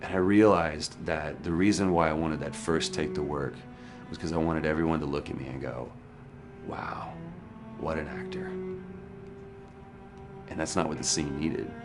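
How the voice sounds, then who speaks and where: Very deep-voiced male storyteller over music. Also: normal speed, long pauses. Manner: animated storyteller, acts out quoted dialogue. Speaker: actor. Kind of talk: personal anecdote on stage.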